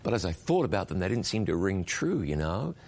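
A middle-aged man with a very deep voice. He tells his story as an interview guest, earnest and reflective. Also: big pitch swings, fairly quick, a little mellow. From New Zealand. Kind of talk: interview conversation.

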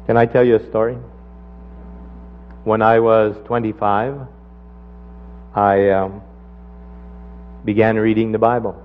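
Man preaching, sermon with personal story, voice swings up high to stress points, medium pitch, dull lo-fi sound. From Canada. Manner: animated, rises sharply when driving a point home.

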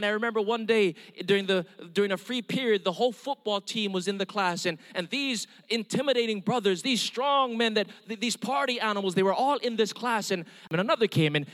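A man's voice: high voice, a bit bright. A middle-aged man from Hawaii with a Hawaiian accent, preaching an earnest testimony sermon and calling for amens. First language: Hawaiian Pidgin. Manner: earnest storytelling with calls of amen. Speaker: evangelist. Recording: long hall reverb.

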